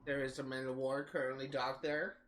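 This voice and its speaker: A man's voice: mid-range voice, little pitch movement, fairly quick, a bit bright, slight background noise. Congested man streaming a game. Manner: rambling, with frequent uhs and coughs. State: congested head cold, scratchy throat. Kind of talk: casual gameplay commentary.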